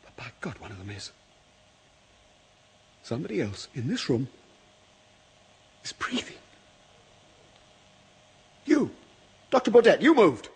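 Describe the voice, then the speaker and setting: An actor playing a frightened freelance writer in a radio horror drama, husky-voiced and agitated, swinging between muttering and shouting. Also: male voice, a little high, wildly swooping pitch, slow pace, pauses often, slight background noise, heavily acted. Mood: mounting terror. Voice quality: husky, breathy. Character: frightened male freelance writer, jittery, unravelling overnight among waxworks. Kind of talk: old-time radio horror drama acting.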